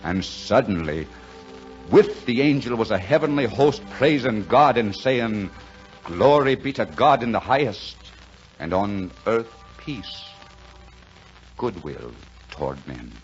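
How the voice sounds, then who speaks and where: A man's voice: fairly low pitch, sing-song, slow. An actor playing a plain-spoken, reassuring American man telling a Christmas story in 1940s radio drama style. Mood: gentle, reverent. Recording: dull 1940s radio broadcast, music behind.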